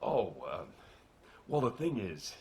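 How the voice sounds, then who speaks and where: Uneasy male game soldier: slow, halting, gravelly low voice. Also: very animated, dark tone. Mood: hesitant. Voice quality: creaky, low. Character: hesitant, worried male soldier. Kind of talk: video game voice acting.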